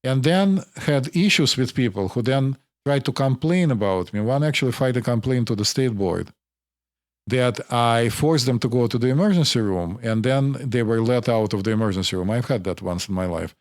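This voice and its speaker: A man from Russia with a Russian accent, hosting as a physician and explaining things in a measured way. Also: mid-range voice, dry recording, clean studio sound. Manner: explanatory, measured, interjects often. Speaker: physician host. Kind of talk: conversational podcast discussion.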